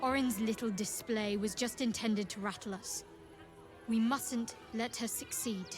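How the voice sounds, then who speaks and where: Woman playing a high-voiced, wry young cleric. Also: steady tone, measured pace, soft background music, lightly acted. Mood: serious, wry. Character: guarded, wry young female cleric companion. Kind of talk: video game dialogue acting.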